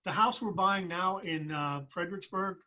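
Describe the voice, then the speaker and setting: A man in his fifties, a military retiree, talking reflectively as a podcast guest with some halting restarts. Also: high-pitched, bright, forceful tone, highs cut off. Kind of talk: casual conversation.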